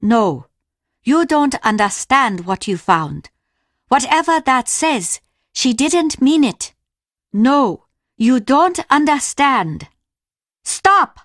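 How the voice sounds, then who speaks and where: A woman's voice: high voice, big pitch swings, fairly bright tone, dry recording, clean studio sound, full character voice. A woman voicing a courteous, grieving older Japanese mother in a video game, speaking formally and carefully. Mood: agitated, pleading. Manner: formal, measured, careful delivery. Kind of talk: scripted game dialogue.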